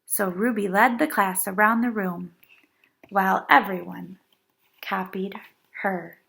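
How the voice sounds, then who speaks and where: Lady doing a storytime read aloud, takes her time, bright voice w some creak, really expressive. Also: mid-range voice, takes it slowly. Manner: animated, dramatic emphasis for young listeners. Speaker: storyteller reading a picture book aloud.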